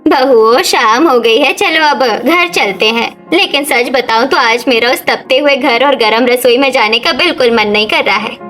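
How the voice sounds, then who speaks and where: A woman's voice: high voice, normal speed, few pauses, fairly bright tone, soft background music. A woman voicing a weary village mother-in-law in a Hindi cartoon story.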